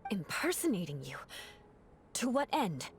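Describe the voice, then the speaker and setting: A woman playing a stern, haughty young knight in a video game, imperious, suspicious and sharp-tongued. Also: mid-range voice, sing-song, a little slow, a little hiss or hum. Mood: skeptical. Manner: imperious, accusatory, theatrical. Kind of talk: video game cutscene acting.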